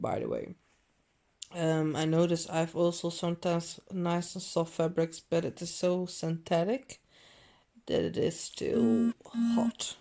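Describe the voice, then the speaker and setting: A woman's voice: lowish voice, gravelly, warm tone. A woman filming a clothing haul at home, talking in a rambling, self-correcting way. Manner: rambling, self-correcting, non-native phrasing; deliberately overdone mixed accent. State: dry throat. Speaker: fashion vlogger. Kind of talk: casual unboxing haul chat. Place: home living room.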